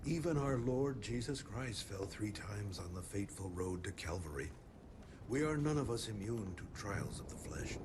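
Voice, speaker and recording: An actor playing a kindly older frontier priest in a video game cutscene, gentle, folksy and unhurried in a preacherly way. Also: male voice, lowish voice, expressive, background noise, natural voice. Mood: gentle, consoling.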